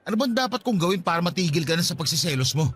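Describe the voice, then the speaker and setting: An exasperated husband in a radio drama, high-voiced and fast. He argues indignantly, fed up with a jealous partner, his pitch swinging widely. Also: animated, fairly bright tone, slight background noise. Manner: indignant, argumentative. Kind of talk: Tagalog radio drama acting.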